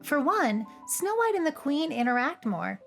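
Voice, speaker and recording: Female video essayist, high voice, cheeky scripted commentary with music underneath. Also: sing-song, normal speed, creaky, fairly bright tone. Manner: playful, cheeky asides. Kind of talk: scripted, humorous commentary over cartoon clips. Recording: music behind the voice. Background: background music.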